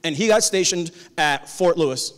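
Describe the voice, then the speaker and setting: A man, a high school teacher, giving a conference keynote from a stage with a handheld mic, talking in a measured, conversational way. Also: a bit bright. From Tacoma, Washington. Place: large conference hall on stage. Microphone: handheld cordless mic. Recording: long hall reverb.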